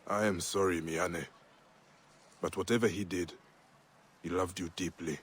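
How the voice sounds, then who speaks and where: An actor playing a grave, resolute warrior protector of ancient Egypt in a video game, speaking in measured, solemn phrases. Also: male voice, low-pitched, steady tone, breathy edge. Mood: sombre. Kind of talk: video game cutscene acting. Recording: game music behind the voice.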